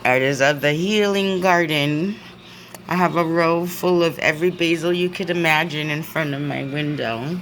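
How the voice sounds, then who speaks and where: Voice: mid-range voice, fairly even intonation, normal speed, fairly bright tone. A vlogger chatting casually in a video diary, rambling with many fillers and frequent laughs, filmed on a phone in an indoor room. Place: indoor room with a window view. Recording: noisy room ambience.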